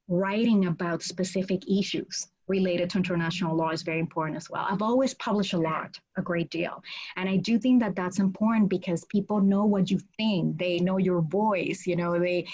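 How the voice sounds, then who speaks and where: A woman from Puerto Rico whose first language is not English, speaking earnestly as a guest on a video call from a home office. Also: fairly low pitch. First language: Spanish. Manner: earnest, animated, gestures a lot with her hands while talking. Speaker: law school dean, guest. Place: home office with bookshelves, indoors. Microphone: video call, webcam. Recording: dull lo-fi webcam audio.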